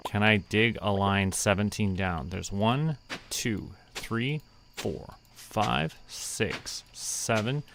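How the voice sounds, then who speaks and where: A young man streaming a farming game, relaxed, muttering to himself and counting aloud. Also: on the low side, slow pace, gravelly, slight background noise. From Canada. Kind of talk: relaxed gameplay commentary.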